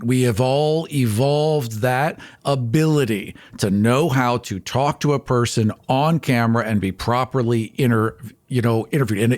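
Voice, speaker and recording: A man chatting casually as a podcast co-host, with a dry storytelling manner. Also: mid-range voice. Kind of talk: casual podcast panel chat.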